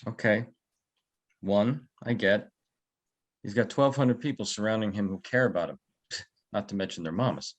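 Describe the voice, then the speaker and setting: A man playing a calm, probing security guard turned investigator in a naturalistic script read, low-key and terse. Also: normal speed, long pauses, lightly acted. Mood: thoughtful.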